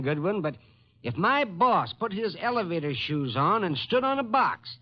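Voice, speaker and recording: A woman in an old-time radio drama playing a brassy secretary with a sardonic, slangy way of wisecracking. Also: mid-range voice. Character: brassy, wisecracking secretary mocking her boss. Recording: dull, lo-fi old radio recording.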